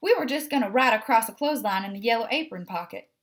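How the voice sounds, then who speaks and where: A woman's voice: on the high side, animated, fast talker, natural voice. A woman reads the part of a male storybook house cat, earnest and diplomatic. He talks patiently and sincerely, coaxing along with very varied intonation. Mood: persuading. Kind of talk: children's storybook character voice.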